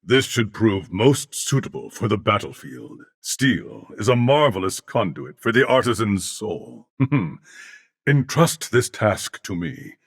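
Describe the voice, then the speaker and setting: A man voicing a courteous older alien warrior-scholar in a video game, speaking formally and eloquently with measured diction. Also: lowish voice, sing-song, no room sound, clean studio sound, full character voice. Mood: scholarly, content. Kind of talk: video game character voice lines.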